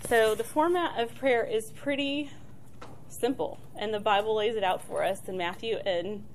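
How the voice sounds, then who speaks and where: A middle-aged woman, soft-spoken and chatty, leading a casual church talk on prayer with many fillers and restarts. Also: fairly high pitch, normal speed, a little hiss or hum, low-quality recording.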